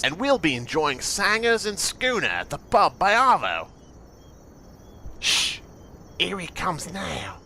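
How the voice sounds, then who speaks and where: A brash Aussie wildlife adventurer, hunting monsters over faint insect noise. His voice is high for a man and swings around with lively, excitable showmanship. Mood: tense. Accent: Australian. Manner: hushed-excitement wildlife presenter, slangy. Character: brash Australian male monster hunter, nature-show adventurer. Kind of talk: parody wildlife documentary narration.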